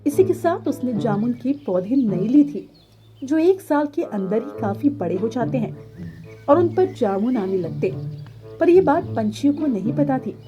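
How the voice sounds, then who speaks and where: Woman narrating a kids story, high lively voice, bit of music behind her. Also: a little slow. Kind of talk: scripted children's story narration.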